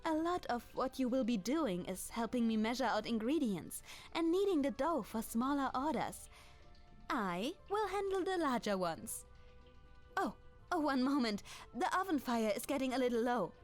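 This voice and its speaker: A woman playing a kind, clumsy, centuries-old dragoness baker in an ASMR roleplay, with a German accent and gentle, apologetic, formal, halting speech. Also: sits high, very animated. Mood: cheerful instruction. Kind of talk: ASMR roleplay, in-character monologue to the listener. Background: music.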